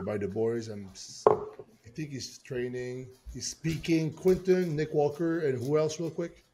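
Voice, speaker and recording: A man in his late thirties co-hosting a casual chat, relaxed, reading things off a screen as he talks. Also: mid-range voice. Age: 30s. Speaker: podcast co-host.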